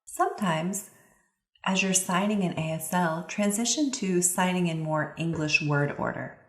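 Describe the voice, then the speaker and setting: Lowish woman explaining stuff like a reading teacher, expressive n emphatic, kinda echoey room. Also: unhurried. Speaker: instructor, literacy expert giving advice to parents. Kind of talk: instructional talk with expressive read-aloud examples.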